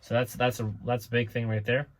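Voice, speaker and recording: A man's voice: mid-range voice, level tone, a little fast. Rambling young man talking to camera. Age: 20s. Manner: rambling, many restarts and fillers. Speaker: small trucking business owner. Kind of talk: casual talk to camera. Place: outdoors beside his truck.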